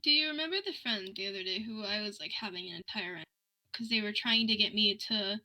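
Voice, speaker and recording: A young woman chatting casually, telling a story in an animated, ranting way and acting out both sides of conversations. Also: mid-range voice, fairly quick, poor audio.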